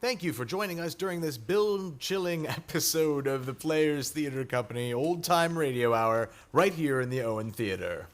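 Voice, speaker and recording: A man's voice: a little high, animated, normal speed, few pauses. A man announcing scripted credits in a formal, theatrical old-time radio style. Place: theater stage, live recording. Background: loud live music.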